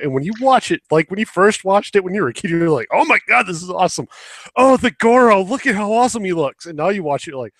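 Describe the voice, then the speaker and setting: A man's voice: a little high, fairly bright tone, dry recording. A man hosting a podcast, chatting casually with plenty of swearing and sarcastic exaggeration. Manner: sarcastic, hyperbolic. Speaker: podcast host. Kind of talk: casual, profane podcast chat.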